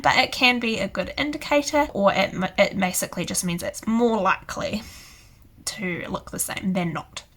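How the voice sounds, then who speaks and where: A young woman with a hoarse, croaky voice from illness, chatting casually as she explains plant care. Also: medium pitch, fairly bright tone. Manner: chatty and rambling, many "so yeah" fillers. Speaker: houseplant channel host. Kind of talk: casual tutorial to camera.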